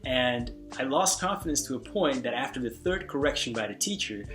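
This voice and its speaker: A man whose first language is Hungarian talks through language-learning advice in a loose, unscripted way, circling back and restarting his sentences. His voice sits at an ordinary middle pitch. Faint guitar music plays quietly under him. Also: fairly quick. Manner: relaxed, rambling, many restarts and fillers. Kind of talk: casual spoken advice.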